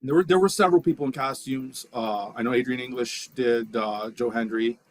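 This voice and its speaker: A man co-hosting a live stream, speaking haltingly and repeating words. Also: medium pitch. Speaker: co-host.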